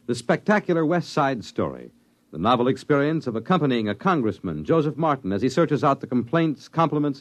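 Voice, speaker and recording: A man announcing on a 1950s network radio program in a formal, emphatic delivery. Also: medium pitch. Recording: old radio broadcast with music.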